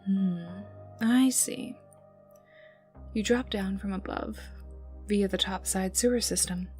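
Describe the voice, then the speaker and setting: Woman acting as young female villain enforcer, ASMR roleplay, slow delivery, breathy, taunting, menacing, faint music behind. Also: medium pitch, a little mellow, lightly acted. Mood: curious, teasing. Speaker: voice actor in audio roleplay. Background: ambient music and mild sound effects.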